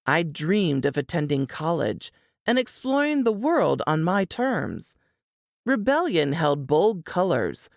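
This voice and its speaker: A woman narrating a suspense novel in the first person, with deliberate, dramatic storytelling delivery. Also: low-pitched, creaky, dry recording, clean studio sound.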